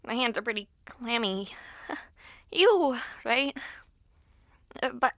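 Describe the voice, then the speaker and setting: A woman's voice: fairly high pitch, lively intonation, takes it slowly, fairly bright tone, dry recording, studio quality, heavily acted. A woman playing a nervous schoolgirl in an audio roleplay, stammering and hesitant as she talks to the listener. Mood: nervous, flustered. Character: nervous schoolgirl Serena, fretful, eager amateur spellcaster.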